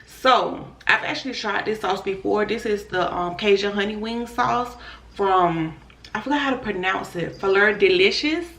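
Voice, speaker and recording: A hoarse woman hosting an eating show, chatting casually and talking to viewers as y'all between bites. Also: medium pitch, normal speed, a bit bright. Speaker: mukbang host. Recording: echoey room.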